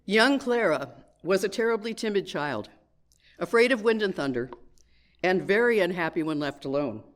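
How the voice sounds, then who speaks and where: A woman giving a children's message at church, telling a story in measured, clearly phrased sentences to a congregation. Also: medium pitch, expressive.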